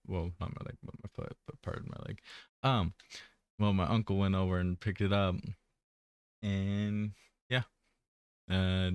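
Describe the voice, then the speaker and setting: A man's voice: deep voice, clean tone, no room sound. A man giving a casual personal update, rambling and hesitant, often trailing off mid-thought. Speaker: vlogger.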